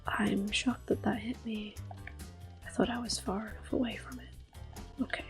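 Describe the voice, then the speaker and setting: A woman hosts an ASMR gameplay video in a hushed, whispery voice of average pitch. Her delivery is gentle and unhurried as she comments on the play. Faint music runs behind her. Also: fairly even intonation. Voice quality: soft, breathy, whispery. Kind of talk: soft-spoken ASMR game commentary with whispering.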